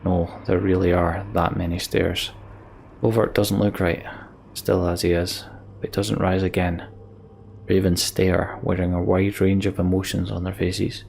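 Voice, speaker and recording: A man's voice: sits low, level tone, moderate pace, gravelly, darkish tone, a little hiss or hum. A man doing a let's play, reading game text aloud and adding informal, enthusiastic asides. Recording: game music behind the voice. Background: game music.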